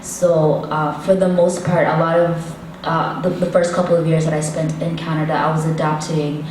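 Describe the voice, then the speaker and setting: A woman's voice: low-pitched, level tone, normal speed, a little mellow. A woman artist from Sudan answering a question on a panel, thoughtful and hesitant. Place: large assembly hall. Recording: echoey hall from far off, background noise.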